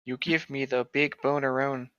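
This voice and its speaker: A woman's voice: extremely low pitch, steady tone, normal speed, fairly bright tone, smooth, dry recording, highs cut off. A woman co-hosting a comedic read-along podcast, with playful, sweary banter and reading aloud. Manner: playful, crude, sweary joking.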